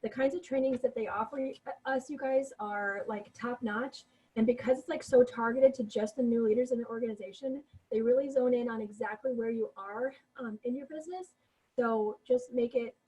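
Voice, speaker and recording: A woman's voice: a little high, normal speed, warm tone, breathy edge, poor audio. A woman losing her voice, co-hosting a team video call with upbeat, encouraging talk full of fillers. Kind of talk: casual motivational team talk.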